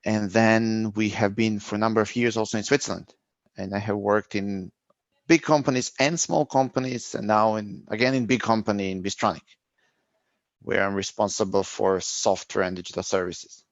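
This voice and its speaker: Middle-aged man, interview guest, reflective and halting, dry muffled sound. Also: fairly low pitch, steady tone, no room sound. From Serbia. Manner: thoughtful, searching for words, frequent restarts. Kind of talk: conversational interview answers.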